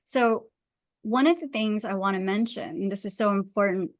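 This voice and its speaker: A woman's voice: medium pitch, moderate pace, no echo. Earnest woman explaining things, close dry sound. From Pakistan. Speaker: guest wellness and design expert. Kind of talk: conversational explanation in an interview.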